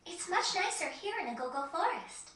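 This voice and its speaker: A woman playing a composed, courteous young girl who guards a forest, speaking in measured, articulate video game dialogue. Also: extremely high pitch, animated, fairly bright tone. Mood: earnest, concerned. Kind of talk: video game cutscene acting. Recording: echoey, distant game audio.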